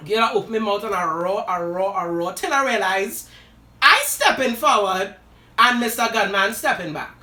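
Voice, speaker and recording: Host, woman, sassy dramatic storyteller, high forceful voice. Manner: dramatic, sassy asides, addresses listeners as "girl" and "darling". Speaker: live show host telling a personal story. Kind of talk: animated personal storytelling. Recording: roomy echo, voice from a distance.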